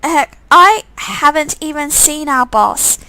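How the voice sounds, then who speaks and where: A woman's voice: high-pitched, expressive, slow pace, pushed and bright, no echo, exaggerated voice, low-quality recording. A woman voicing a suspicious young heroine in a visual novel game, frank and questioning. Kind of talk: acted visual novel dialogue.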